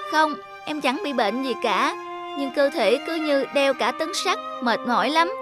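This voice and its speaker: A woman's voice: high voice, measured pace. A woman voicing a whiny, manipulative young female flower in a children's cartoon, plaintive and complaining. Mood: weary complaining. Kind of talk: children's moral cartoon dubbing. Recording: loud music under the voice.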